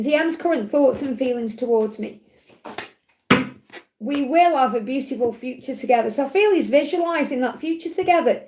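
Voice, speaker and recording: A woman with a slightly croaky voice, giving gentle spiritual advice on a live stream and often checking in with "okay?". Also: high-pitched, background noise. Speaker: spiritual reader answering live chat questions. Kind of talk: live-stream Q&A, casual and reassuring. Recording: echoey room.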